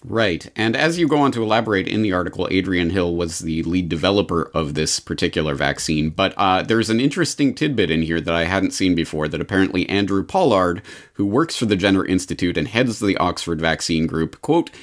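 Low-pitched man hosting an interview. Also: expressive, a little fast. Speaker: interviewer, host. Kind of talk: casual interview talk.

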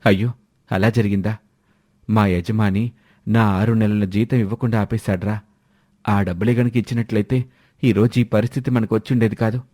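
A man's voice: lowish voice, steady tone, moderate pace, long pauses, warm tone, close to an everyday voice. A man voicing a dutiful, caring eldest brother in a family story. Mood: dismayed.

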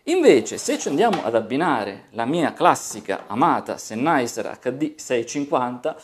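A man in his forties hosting a casual product review, playful and expressive, drawing out words for emphasis. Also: medium pitch, measured pace. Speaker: tech reviewer, video host. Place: small home studio room with desk and monitors.

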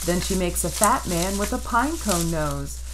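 A woman narrating a children's picture book in an expressive, rhythmic read-aloud style. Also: lowish voice, measured pace, background noise. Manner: rhythmic rhyming delivery, expressive. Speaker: storybook narrator.

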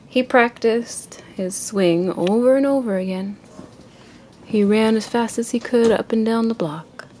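A woman reading a children's picture book aloud to a child in an expressive storytelling way. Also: on the high side, unhurried. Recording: noisy amateur home recording.

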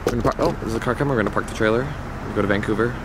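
A man's voice: lowish voice, very fast, background noise. A young man chatting eagerly to a handheld camera on a travel vlog, outdoors on city streets and in a car. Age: young adult. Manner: upbeat, enthusiastic, chatty with many fillers. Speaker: vlogger. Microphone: handheld camera at arm's length.

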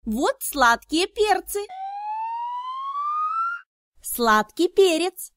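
High-pitched, animated woman narrating for kids. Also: drawn-out words, heavy background noise. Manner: enthusiastic, instructive, carefully enunciated. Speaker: narrator of children's educational cartoons. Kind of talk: scripted narration for toddlers.

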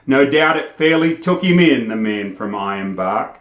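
Animated older man, roomy sound. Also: mid-range voice, normal speed. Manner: animated, theatrical. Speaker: storyteller presenting poems. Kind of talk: dramatic poetry recitation with casual introduction. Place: indoor room against plain wall, facing camera. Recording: roomy indoor echo.

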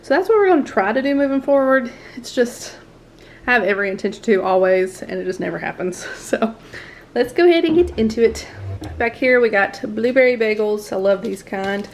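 A woman's voice: on the high side, animated, fairly quick. Woman, 30s, home vlogger; rambling, easygoing, laughs a lot; faint room noise. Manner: chatty, laughs often, rambling asides. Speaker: family vlogger. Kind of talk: casual grocery haul chat. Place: home dining room, indoors.

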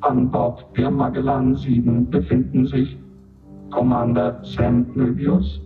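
An actor playing an impersonal official who reads out formal reports through a radio-like filter in a radio drama. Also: male voice, mid-range voice, little pitch movement, slow pace. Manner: formal, clipped, matter-of-fact announcements. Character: impersonal official voice reading out reports and roll call. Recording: tinny narrow band, distant, music behind.

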